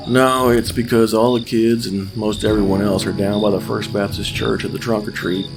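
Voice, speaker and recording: An actor playing an older small-town policeman in an audio drama, folksy, deliberate and patronizing, with quiet menace. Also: low-pitched, creaky. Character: menacing old small-town cop, folksy, coldly patient killer. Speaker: police officer character.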